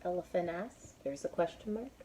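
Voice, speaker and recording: A woman's voice: on the low side, normal speed, a little hiss or hum, poor audio. A woman reading a storybook aloud to young children, expressively, with teaching asides. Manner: expressive, spells out words for young listeners.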